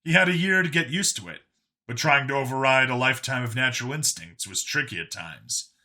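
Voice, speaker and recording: A man's voice: mid-range voice, animated, brisk, sharp tone. A man reading a scripted story aloud as an audiobook narrator.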